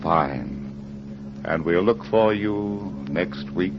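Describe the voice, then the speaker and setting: An actor playing a genial crime club librarian, announcing politely and measuredly in old radio style. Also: male voice, low voice, drawn-out words, over loud music. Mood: courteous. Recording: muffled, faintly noisy vintage radio recording.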